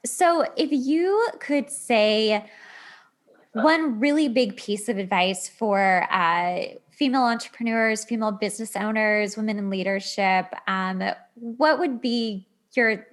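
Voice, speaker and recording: A woman's voice: medium pitch, measured pace. A woman hosting a podcast, speaking casually as she interviews, with short responses and questions. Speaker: podcast host.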